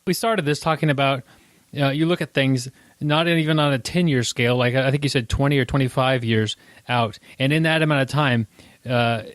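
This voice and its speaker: A man hosting a podcast interview, talking in a thoughtful, halting way with many restarts. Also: medium pitch, dry recording. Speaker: podcast host. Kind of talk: casual interview chat.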